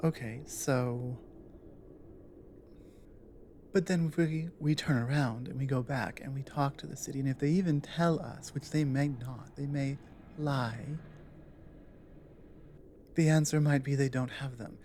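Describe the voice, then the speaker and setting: A woman playing a big, earnest, protective orc warrior in an intimate ASMR audio drama, speaking low in a halting, thoughtful way. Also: dark tone, a little hiss or hum. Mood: worried, deliberate. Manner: halting, deliberate, earnest delivery with pauses.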